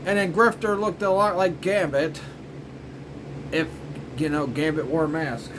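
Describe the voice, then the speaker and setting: A man's voice: on the high side, fairly even intonation, unhurried, background noise. A man casually rambling through a commentary as host of a review show, in informal, loosely strung phrases. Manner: informal, repetitive filler phrases like "you know" and "frickin'".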